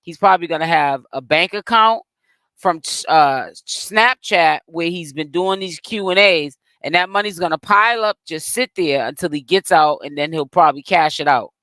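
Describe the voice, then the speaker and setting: A man's voice: fairly high pitch, normal speed, pushed and bright, no echo. A young man from Boston with a Boston accent, rambling through casual celebrity gossip commentary and correcting himself as he goes. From Boston, Massachusetts. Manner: rambling, self-correcting, streetwise slang.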